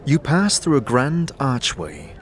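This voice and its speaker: A man narrating a scripted fantasy audio drama in a measured, descriptive storytelling manner. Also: medium pitch, sing-song, slight background noise.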